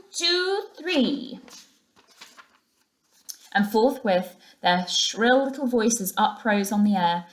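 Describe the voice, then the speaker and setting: Woman reading a children's storybook aloud. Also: medium pitch. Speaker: teacher reading to pupils. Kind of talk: expressive children's storybook narration.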